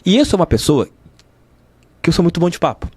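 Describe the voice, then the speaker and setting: A man's voice: on the high side, sing-song, quick pace, a little mellow. A middle-aged Brazilian man with a Rio de Janeiro state accent, telling stories casually and emphatically as an interview guest, repeating phrases for emphasis. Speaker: sports journalist, interview guest. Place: podcast studio.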